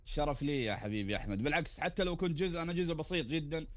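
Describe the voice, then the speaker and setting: A man's voice: a little high, rapid-fire, fairly bright tone, no room sound. A man, a sports journalist guest, talking courteously and effusively in a live radio interview.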